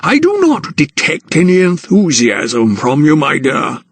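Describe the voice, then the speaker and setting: A man voicing a conniving older aristocratic gentleman who meddles as guardian, speaking slowly and patronizingly. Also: a little high, wildly swooping pitch, slightly rough, no echo, pitched above the performer's own voice, exaggerated voice. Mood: insistent. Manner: slow, drawn-out, patronizing.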